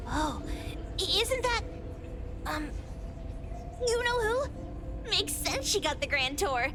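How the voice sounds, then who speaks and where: A woman's voice: extremely high pitch, big pitch swings, slow, over music, heavily acted. A voice actress playing a feisty, hot-headed young girl in a video game, brash, blunt and exclamatory. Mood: excited, puzzled. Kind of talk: video game character acting.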